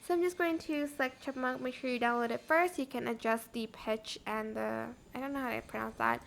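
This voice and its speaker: A woman giving a casual software tutorial as a channel host, talking viewers through the steps. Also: on the high side, steady tone, a little fast, vocal fry.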